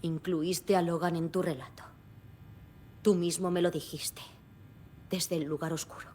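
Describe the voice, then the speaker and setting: A woman's voice: fairly even intonation, measured pace, a little mellow, close to an everyday voice. An actress voicing a determined, direct investigator and protective mother in dramatic video game dialogue. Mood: demanding. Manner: direct, resolute. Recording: faint background rumble and noise.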